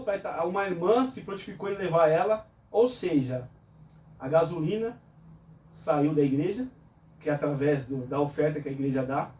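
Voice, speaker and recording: A man speaks earnestly in a fairly high voice, poses question after question, and answers each one himself. The room is echoey and he sits back from the mic. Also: darkish tone. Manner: earnest, explains with rhetorical questions. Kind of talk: conversational preaching in a talk show. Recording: roomy echo, from a distance.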